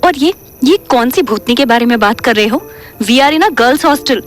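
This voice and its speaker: A woman's voice: high-pitched, animated, unhurried. A woman playing a bossy, impatient young woman in an audio drama, mixing in English phrases. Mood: exasperated. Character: bossy, sharp-tongued young woman hunting for her sister. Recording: music bed under voice.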